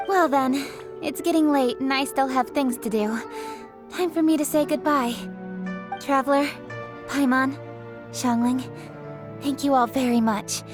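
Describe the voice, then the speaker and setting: A woman's voice: high voice, a little slow, long pauses, music in the background. An actress playing a poised, courteous young woman in a video game, speaking in a composed, explanatory way. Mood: polite. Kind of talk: video game cutscene acting.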